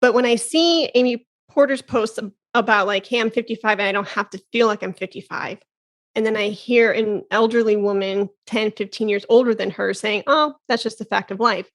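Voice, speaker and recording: An enthusiastic woman in her thirties hosts a casual podcast interview. She talks in a slightly high voice, exclaims often and laughs between thoughts. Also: brisk. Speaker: podcast host.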